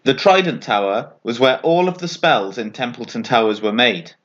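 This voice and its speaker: A man reading a children's bedtime story aloud as an expressive narrator. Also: medium pitch, normal speed, lo-fi sound.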